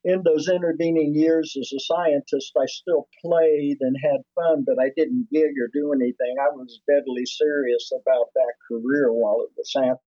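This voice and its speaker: A man's voice: fairly high pitch, steady tone, moderate pace, few pauses, mellow, no room sound, muffled recording. A man in his seventies, a musician and producer, telling his story in a casual interview with many restarts and fillers. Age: 70s.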